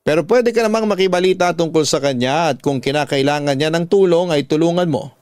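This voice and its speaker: A Filipino man hosts a radio story program in a fairly high male voice. He reads letters as dramatic first-person narration and speaks as an advice giver. The sound is close and dry, with no room around it. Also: fairly quick, a little mellow, dry recording.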